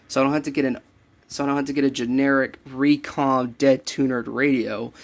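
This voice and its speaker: A man's voice: medium pitch, gravelly. A young man giving a casual show-and-tell of his collection, rambling and correcting himself as he goes. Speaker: radio collector showing a collection. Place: small cluttered room indoors.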